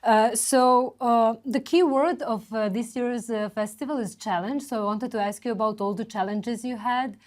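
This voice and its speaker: A woman interviewer asking questions at a festival, slowly and haltingly, with many "uh" fillers. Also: a little high, fairly even intonation, a little mellow. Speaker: interviewer, host.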